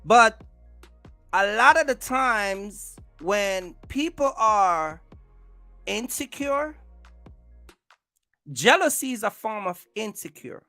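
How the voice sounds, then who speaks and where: Bright, emphatic livestreamer, unhurried. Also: medium pitch, dry recording. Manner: emphatic, repeats phrases and restarts often. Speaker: livestream host, content creator. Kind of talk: casual livestream talk, rambling commentary.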